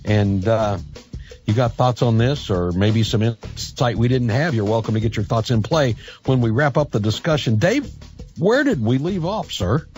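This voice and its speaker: A man's voice: mid-range voice, expressive, moderate pace, darkish tone, faint music behind. A man hosting a radio talk show in a casual, conversational style. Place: radio studio.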